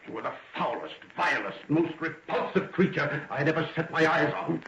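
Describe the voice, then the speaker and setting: A man plays a righteous older father in a vintage radio drama. He is formal, declamatory and indignant, pitched a little high. The sound is muffled and echoes noticeably, like an old broadcast. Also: moderate pace, a crowd in the background, natural voice. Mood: outraged loathing. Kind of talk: 1940s radio drama acting.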